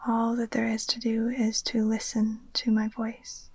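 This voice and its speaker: A woman gently guiding a relaxation meditation in a soft, breathy, near-whispered voice, soothing and unhurried. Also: fairly high pitch, monotone. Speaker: yoga nidra teacher. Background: occasional chimes.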